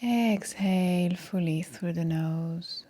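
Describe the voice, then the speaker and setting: A woman guides a meditation at an extremely unhurried pace. Her voice is soft and breathy, with a mellow, relaxed tone and a slightly low pitch. She gives each instruction calmly and gently. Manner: calm, gentle, soothing. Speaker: meditation guide, yoga teacher.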